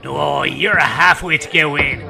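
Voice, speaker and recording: A man playing a gruff, sardonic fantasy dwarf in an audio drama, blunt and taunting, with a gravelly voice. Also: fairly high pitch, measured pace, full character voice. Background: loud music.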